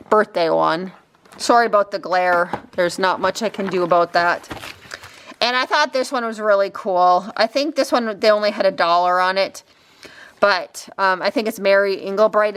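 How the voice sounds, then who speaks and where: A woman chatting casually and a bit ramblingly as she shows craft finds, her throat slightly raspy. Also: medium pitch, a bit bright. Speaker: crafting vlogger. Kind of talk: casual chatty haul show-and-tell.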